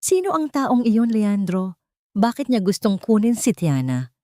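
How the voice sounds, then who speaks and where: A woman's voice: mid-range voice, sing-song, unhurried, mellow, dry recording, studio quality, voice pushed up. A woman voicing an anguished, protective mother in an audio story drama. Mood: controlled anger.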